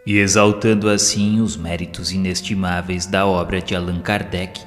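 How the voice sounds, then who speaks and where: Brazilian man, low voice, solemn formal narration, measured oratorical delivery, music underneath. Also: flat delivery, a bit bright. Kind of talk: solemn scripted narration of a religious audio drama. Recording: long hall reverb, music underneath. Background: background music.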